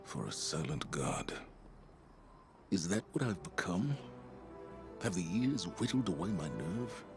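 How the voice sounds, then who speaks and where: An actor playing a weary, guilt-ridden veteran military commander in a video game, brooding and deliberate in delivery. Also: male voice, big pitch swings, music in the background, exaggerated voice. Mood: hollow, sorrowful. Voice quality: deep, gravelly. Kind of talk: dramatic video game acting.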